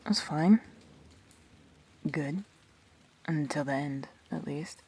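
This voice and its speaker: A woman's voice: deep voice, slow pace, a little mellow, slight background noise. A woman playing a guarded, defensive, brooding immortal in an intimate sci-fi audio drama, terse and hesitant. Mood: reluctant.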